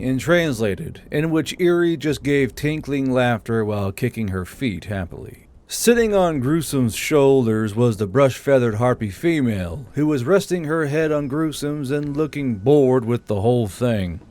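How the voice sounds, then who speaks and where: Guy narrating fantasy stories for his channel, medium pitched voice. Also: expressive, measured pace, hardly any pauses, darkish tone.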